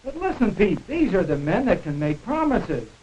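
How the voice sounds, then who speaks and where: A man's voice: high voice, sing-song, moderate pace, a little mellow, breathy edge, highs cut off, exaggerated voice. An actor playing a stubborn, gullible American everyman in a 1940s educational film, speaking earnestly and insistently on a crackly soundtrack.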